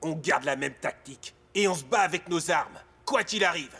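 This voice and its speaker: A determined cartoon sports captain, steadfast, with a raspy, creaky voice over faint music. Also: male voice, fairly high pitch, normal speed, sharp tone, exaggerated voice.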